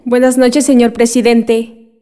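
Woman playing young villager, high-pitched, soft-edged mellow tone, calm, courteous, radio drama. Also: a little slow, close to an everyday voice.

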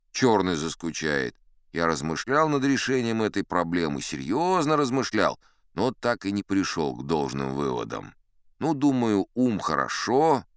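A man's voice: low-pitched, dry recording, studio quality, full character voice. A man playing an old sea captain who tells tall tales in a sly, folksy, conversational way, as in a dramatized audiobook. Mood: musing, mock-serious. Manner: conversational, sly, leisurely, addressing a young listener.

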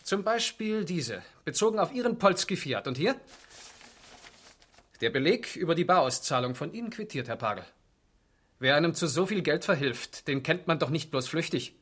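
A stern, higher-pitched male police interrogator. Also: lively intonation, moderate pace, fairly bright tone, husky. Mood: dry, pressing. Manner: clipped, authoritative, dry. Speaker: actor. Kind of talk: 1970s East German radio crime drama acting.

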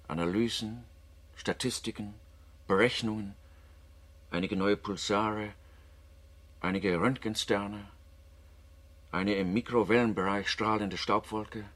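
An actor playing a troubled, analytical astronaut scientist who speaks in a halting, pensive way in a radio play. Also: male voice, lowish voice, a bit bright. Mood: weary, disillusioned.

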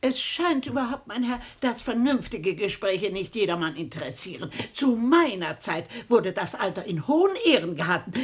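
Old matriarch, stuffy, pompous, title-proud, husky, very animated, period radio comedy. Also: female voice, mid-range voice. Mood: haughty. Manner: indignant, pompous, formal.

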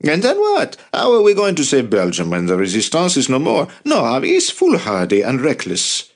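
A man's voice: deep voice, very animated, fairly quick, husky, exaggerated voice. A man acting an indignant, skeptical resistance commander. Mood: alarmed, angry. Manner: incredulous, forceful objections.

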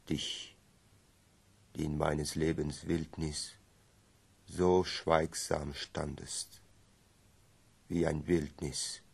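An actor playing a grave, brooding man solemnly reciting verse in a radio play, his voice very husky and gravelly. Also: a bass voice, full character voice.

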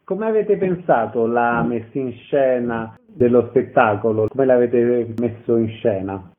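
A man's voice: medium pitch, unhurried. A man hosting a radio show, putting interview questions to guests and announcing events. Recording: thin, narrow-band phone-like radio sound.

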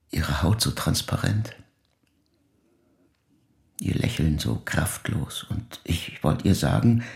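An actor playing a grieving older man who speaks reflectively and slowly in a measured, pensive audio drama monologue. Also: darkish tone, full character voice. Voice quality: very deep, gravelly, breathy at phrase ends. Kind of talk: slow literary dramatic monologue.

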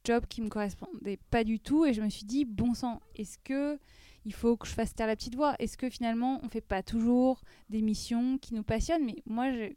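A woman's voice: on the high side, normal speed, warm tone, dry recording. A woman in her thirties telling a personal story as an interview guest, hesitant with frequent restarts and fillers.

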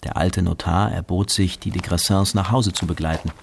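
A man reading scripted narration for a German radio drama in a creaky voice. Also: low voice, steady tone, moderate pace, noisy background.

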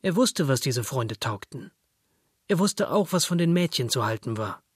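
A man's voice: mid-range voice, big pitch swings, moderate pace, slightly rough, dry recording, studio quality. A man narrating literary fiction from a script.

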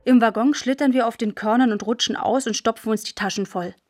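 Woman as matter-of-fact schoolgirl narrator, fairly high voice, dry studio sound, clipped phrases, vivid storytelling. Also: fairly quick, darkish tone, no echo, lightly acted. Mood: brisk, observant. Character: matter-of-fact postwar schoolgirl telling her scavenging adventures. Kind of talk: first-person scripted radio drama narration.

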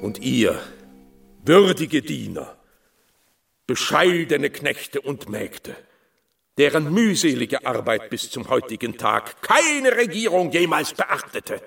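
A pompous, slow, distant orator. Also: male voice, mid-range voice, big pitch swings, vocal fry, a bit bright, soft background music, heavily acted. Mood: grandiose, patronising. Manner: bombastic, declamatory, rhetorical. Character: pompous male official orator addressing farmers. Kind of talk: public speech in a radio drama. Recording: distant, off-mic public address.

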